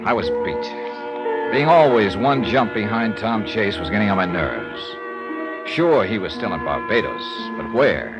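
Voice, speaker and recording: Wry, world-weary radio detective. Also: male voice, fairly low pitch, very animated, unhurried, lo-fi sound, loud music behind the voice. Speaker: lead actor and narrator. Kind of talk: 1950s radio drama narration. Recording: vintage radio transcription.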